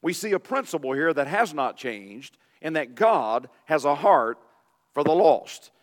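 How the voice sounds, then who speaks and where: Hoarse deep-voiced pastor in a reverberant church. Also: male voice, very animated, a little slow. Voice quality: deep, raspy voice. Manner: reads scripture aloud, informal asides. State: hoarse from illness. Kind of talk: sermon. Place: church sanctuary. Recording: long reverb of a church hall.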